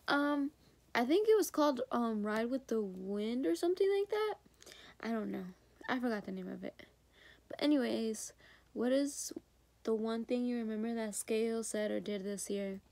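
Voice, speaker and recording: A sick teenage girl with a hoarse voice, talking hesitantly to her phone camera in her bedroom for a school video diary. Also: expressive, moderate pace, no echo. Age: teens. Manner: hesitant, many ums, soft and low-key. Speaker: high school student. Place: bedroom indoors. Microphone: phone or laptop camera at arm's length.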